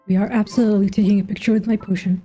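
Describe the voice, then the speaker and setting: A woman's voice: mid-range voice, little pitch movement, racing. A young woman giving casual gameplay commentary, thinking aloud in slangy, informal speech. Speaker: gamer reacting to a story quest. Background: soft game music.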